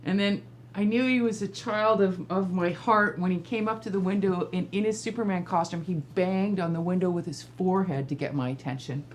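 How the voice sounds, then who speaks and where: A woman's voice: lowish voice, a little fast. Middle-aged woman storyteller, noisy room. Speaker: storytelling guest, a regular host's mother. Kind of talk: casual anecdote telling.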